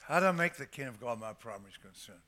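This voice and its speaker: An older man preaching a sermon to his church, stressing scripture phrases slowly and emphatically. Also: medium pitch, animated, pushed and bright. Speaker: pastor.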